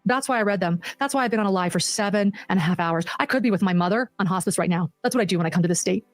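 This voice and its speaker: A woman's voice: medium pitch, rapid-fire, no room sound, faint music behind. A woman reading documents aloud on a livestream with emphatic, aggrieved asides in her own defence. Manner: aggrieved, emphatic, self-justifying.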